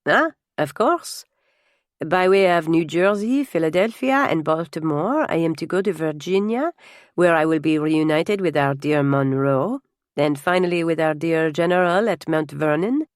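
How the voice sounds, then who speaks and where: An exuberant French nobleman, played by a woman narrator, speaks with a French accent in a close, dry recording. He is courtly and gallant, pouring out his warmth as a storybook character. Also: on the high side, expressive, moderate pace, smooth, no echo, natural voice. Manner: effusive, courtly. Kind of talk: audiobook character dialogue.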